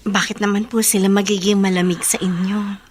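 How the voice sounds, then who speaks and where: An actress playing a troubled, gentle mother in a radio drama, earnest and polite, with a Filipino accent. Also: medium pitch, little pitch movement, fairly quick, close to an everyday voice. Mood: concerned. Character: troubled young mother and leprosy patient, gentle, respectful, fearful. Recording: music under the voice.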